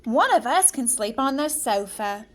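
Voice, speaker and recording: Woman playing small storybook dwarf, high-pitched, animated intonation, earnest, kindly, expressive. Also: a little slow. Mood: tender.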